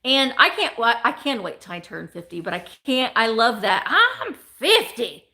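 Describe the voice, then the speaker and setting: Lively, bright-voiced woman in her forties. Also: a little high, a little slow. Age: 40s. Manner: chatty, joking, animated. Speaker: online vintage seller. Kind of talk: live sale chat.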